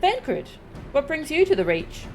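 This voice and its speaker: A woman's voice: sits high, big pitch swings, a little slow, soft background music, pitched above the performer's own voice, full character voice. Woman voicing an emphatic, headstrong young resistance heroine. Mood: pleased surprise. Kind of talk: dramatic read-aloud of video game dialogue.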